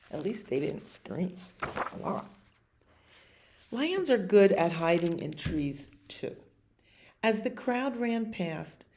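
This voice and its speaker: Female storyteller, 60s, fairly low pitch, very unhurried, expressive read-aloud with conversational asides to young listeners. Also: vocal fry, noisy background. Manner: expressive, explains pictures, asks listeners questions. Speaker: library storyteller reading picture books to children. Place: library activity room, indoors.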